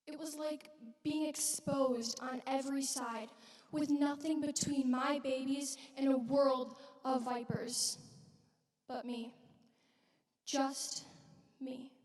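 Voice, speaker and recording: A woman playing a widowed young mother from biblical Galilee in a dramatic church monologue, speaking earnestly and haltingly with weighted pauses. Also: high-pitched, darkish tone. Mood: vulnerable. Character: widowed young Galilean mother, wounded, reverent witness of Jesus. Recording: echoey hall, distant microphone.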